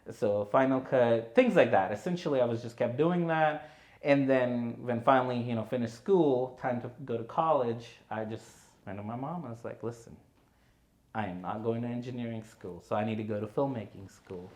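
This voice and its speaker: A man's voice: medium pitch, normal speed. Man telling his story, echoey room. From India. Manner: relaxed storytelling with many "you know" and "right". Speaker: guest filmmaker. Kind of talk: conversational podcast interview.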